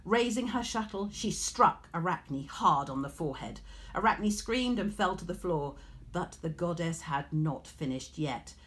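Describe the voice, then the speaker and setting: Slow, lowish-voiced woman storyteller. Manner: measured, expressive storytelling. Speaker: teacher reading a story aloud. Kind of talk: scripted storybook narration.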